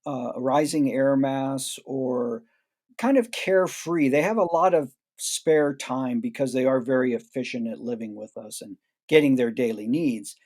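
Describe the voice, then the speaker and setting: A man's voice: medium pitch, darkish tone. A man answering as a guest expert in an interview, explaining at length in a reflective way and restarting phrases.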